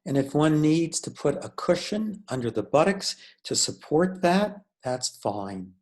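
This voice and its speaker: A man leading a guided meditation as a teacher, speaking in a measured, deliberate way with pauses between phrases. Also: fairly high pitch, sing-song, a little mellow.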